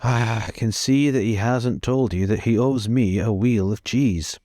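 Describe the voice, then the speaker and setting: Man playing a mellow, grumbling old man. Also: medium pitch, a little slow, no echo, lightly acted. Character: gruff, forgetful-seeming old man from the far west.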